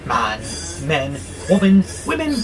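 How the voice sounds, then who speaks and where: Audio drama casting: male actor as sardonic, fed-up warehouse robot with revolutionary streak, animated melody, unhurried pace, noisy bed behind. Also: fairly high pitch, full character voice. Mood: exasperated. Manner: exasperated, sardonic, theatrical grumbling. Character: irritable, rebellious male freight robot plotting revolution. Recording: clear steady background noise, vehicle-like hum.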